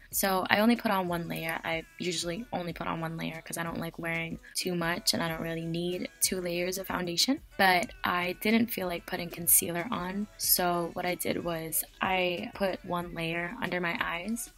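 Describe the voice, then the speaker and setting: Chatty young woman, slightly hoarse voice. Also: on the low side, fairly bright tone. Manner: chatty, fillers like "like". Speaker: beauty vlogger. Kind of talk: casual product review. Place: small dim bedroom, indoors. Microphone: webcam at close range.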